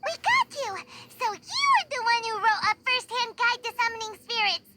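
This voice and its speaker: A woman voicing a small, excitable, childlike flying fairy companion in a video game, squeaky and easily alarmed. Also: normal speed, heavy background noise. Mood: indignant. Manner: refers to self in third person, exclamatory. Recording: game music behind the voice.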